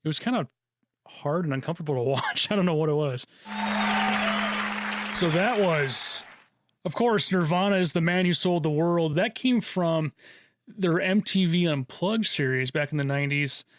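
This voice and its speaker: A man's voice: a little high, normal speed, long pauses, over a noisy crowd, very noisy. A middle-aged American man hosting a radio music show, chatting informally between songs in a conversational way. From Seattle area, United States. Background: music, guitar.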